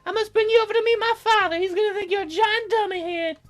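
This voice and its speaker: A woman's voice: very high-pitched, fairly even intonation, fast, soft background music, pitched above the performer's own voice. A woman voicing a playful, teasing young fairy girl in a visual novel, with a girlish, sing-song delivery. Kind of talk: visual novel character read in a let's play.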